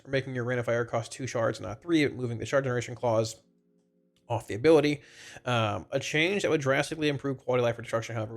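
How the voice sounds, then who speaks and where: Stuffy, slightly raspy man with a cold. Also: medium pitch. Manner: rambling, explanatory, casual. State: congested, under the weather with a cold. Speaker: video creator and game commentator. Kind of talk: informal gaming feedback commentary to viewers. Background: background music.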